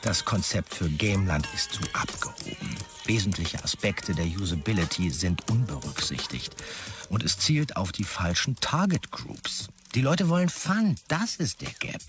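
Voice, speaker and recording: An actor playing a self-important, pushy and sleazy young dot-com manager in a radio drama, with officious, jargon-heavy delivery. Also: male voice, sits low, big pitch swings, normal speed, music in the background. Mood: indignant. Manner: officious business jargon, heavy English buzzwords. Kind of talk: radio drama, characters reading out e-mails.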